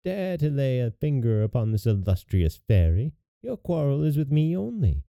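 Man doing a boastful, high-voiced wicked dwarf. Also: very animated, moderate pace, creaky, warm tone. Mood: defiant, taunting. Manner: defiant, taunting. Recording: roomy, narrow phone-like sound.

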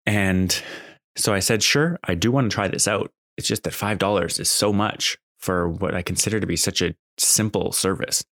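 A man's voice: low-pitched, moderate pace, fairly bright tone, no room sound, studio quality. A man talking casually off the cuff as a podcast host, in a conversational, exasperated, complaining way. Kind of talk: casual unscripted monologue.

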